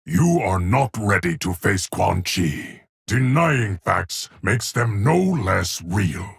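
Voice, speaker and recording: Gravelly, deep immortal time guardian. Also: male voice, sing-song, no echo, studio quality, heavily acted. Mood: solemn, measured. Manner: grave, measured, formal pronouncements. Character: solemn ageless immortal male guardian of time. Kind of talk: video game character voice lines.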